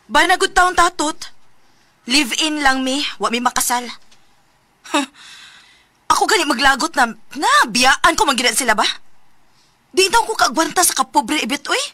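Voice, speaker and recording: A woman acting a quarrelsome, discontented young mother in a Filipino radio drama, with a Cebuano accent and a sharp, complaining manner. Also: high-pitched, animated, moderate pace, pauses often, no room sound. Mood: irritated, spiteful.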